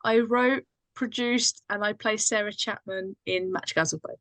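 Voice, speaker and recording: Woman, medium pitch, dry recording, casual chat, informal explanations. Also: steady tone, a little slow, a bit bright, no room sound. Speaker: podcast guest, writer and actress.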